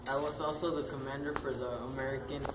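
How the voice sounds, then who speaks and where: Man as a stately early president, plain recital, heard far off in an echoey room with loud noise. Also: mid-range voice, level tone, fast, lightly acted. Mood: earnest. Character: dignified founding president, older man.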